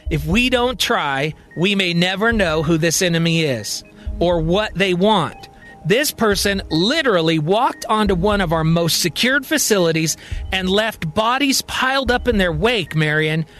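A man's voice: sits high, lively intonation, unhurried, a bit bright, faint music behind. A man voicing a grave, insistent President of the United States in a storytelling science-fiction podcast. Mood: urgent, insistent.

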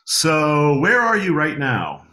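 A man hosting a podcast interview, asking questions in a relaxed, hesitant way with many fillers and restarts. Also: a little high, takes it slowly. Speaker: podcast host. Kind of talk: conversational interview.

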